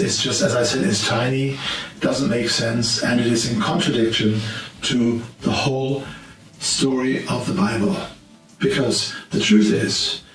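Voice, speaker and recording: An earnest man with a German accent teaching in a plain, direct way and often restarting his sentences. His voice is gravelly and sits back from the microphone. It echoes heavily around his office, with faint music underneath. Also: mid-range voice, distant mic. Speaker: Bible teacher. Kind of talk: informal teaching talk to camera.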